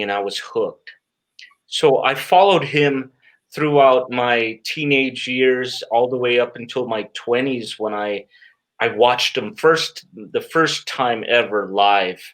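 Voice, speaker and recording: A middle-aged man speaking conversationally as an interview guest, in a halting way with repeated words and frequent filler phrases. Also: mid-range voice, a bit bright, poor audio. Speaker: interview guest, stage magician.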